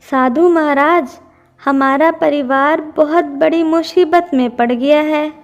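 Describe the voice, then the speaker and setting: Cartoon mother bird, played by a woman: high voice, unhurried, plaintive and pleading for her young, light music underneath. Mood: sorrowful. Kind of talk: Hindi cartoon story dubbing.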